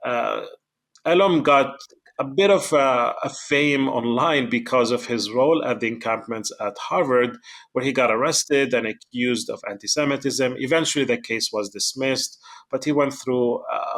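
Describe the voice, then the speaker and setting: A man hosting a podcast interview who talks in a halting way, with many fillers. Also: mid-range voice, fairly bright tone. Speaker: podcast host.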